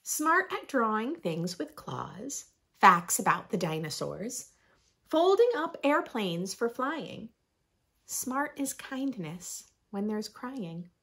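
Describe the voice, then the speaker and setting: A woman in her thirties reading aloud expressively for young children, with playful emphasis and deliberate phrasing. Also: medium pitch, very animated, vocal fry, a bit bright, breathy edge. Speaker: host of a children's read-aloud channel. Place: small furnished room indoors.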